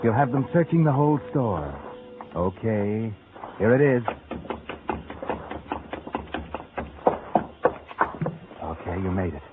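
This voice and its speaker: A man's voice: lowish voice, animated, normal speed, heavy background noise, natural voice. A man playing a sinister, taunting narrator in a 1940s radio suspense drama, speaking insinuatingly and urgently to a fugitive. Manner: insinuating, urgent second-person address. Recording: tinny old radio, loud music behind.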